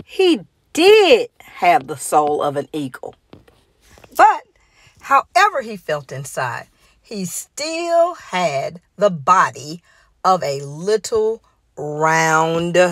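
A woman reading a picture book aloud to children as an expressive story hour narrator. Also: lowish voice, no echo, clean studio sound.

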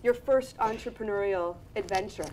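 A woman's voice: medium pitch, moderate pace, darkish tone, a little hiss or hum, poor audio. Middle-aged woman asking interview questions.

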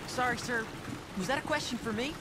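A man's voice: extremely high pitch, brisk. A young male actor playing a polite, reluctant, bookish boy, a rancher's son in a western video game, speaking meekly. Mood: obedient. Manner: meek, polite. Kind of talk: video game character acting. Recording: game dialogue over wagon noise.

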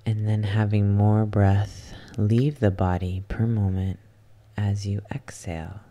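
Very dark, slow, soft-spoken meditation guide. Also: low voice, level tone, muffled recording. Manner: soft-spoken, soothing, unhurried delivery with long pauses. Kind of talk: ASMR guided yoga nidra meditation.

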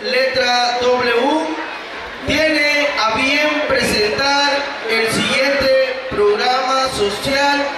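High-voiced Mexican man emceeing a ceremony. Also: fairly even intonation, noisy background. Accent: Mexican Spanish. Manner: declaiming, drawn-out, projecting. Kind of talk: formal announcing and recited poem. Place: covered school patio at a crowded graduation. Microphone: handheld mic through PA, filmed from afar. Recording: echoey PA sound from far off, loud crowd.

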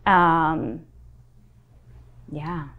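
A woman pastor in her forties speaks slowly and deliberately, her voice sitting a little low. She weighs her words in a calm sit-down interview, picked up close by a lapel mic in a quiet study. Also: narrow, tinny audio. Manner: thoughtful, measured, unhurried. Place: wood-panelled study with armchair.

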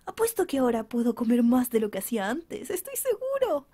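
A woman's voice: a little high, sing-song, fairly quick, dark tone, husky. Woman voicing a cheeky, whiny little cat spirit. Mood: boastful.

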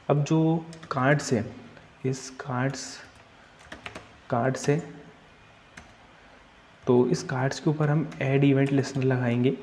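A man's voice: medium pitch, a little hiss or hum. A young man teaching web coding over a screen recording, walking through each step and thinking aloud as he types. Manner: steady step-by-step explaining, thinks aloud while typing. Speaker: coding tutor.

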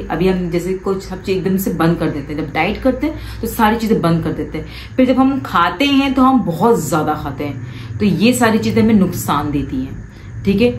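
A woman's voice: lowish voice, reverberant, slight background noise, low-quality recording. A woman, giving direct, coaxing advice to viewers in a casual vlog from her living room. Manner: animated, gestures a lot with both hands. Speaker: vlogger. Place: living room, seated on a sofa.

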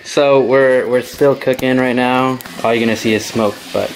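A man's voice: medium pitch, fairly even intonation, normal speed, crowd noise. A man in his twenties, from Central America, chatting and joking with friends while they cook and eat. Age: 20s. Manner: laid-back, joking. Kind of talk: casual chat. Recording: echoey room with noise.

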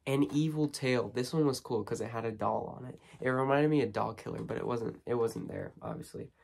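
A teenage boy with a cold, hoarse, chatting casually with many ums and restarts while unboxing movies in his bedroom. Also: medium pitch, expressive, brisk, a little mellow. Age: teens. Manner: rambling, lots of ums and restarts. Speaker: horror movie vlogger. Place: small bedroom studio with shelves.

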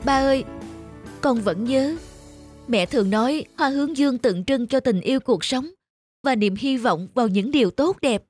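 A gentle young daughter in a cartoon with a high, soft voice. She coaxes kindly, and clear music plays behind her. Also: normal speed. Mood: tender. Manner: gentle, coaxing. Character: caring young adult daughter. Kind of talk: cartoon dubbing.

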